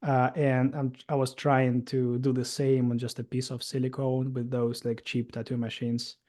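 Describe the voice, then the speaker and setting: A man in his thirties from Russia with a Russian accent, telling his story as an interview guest in halting speech with many fillers and restarts. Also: mid-range voice, monotone, darkish tone.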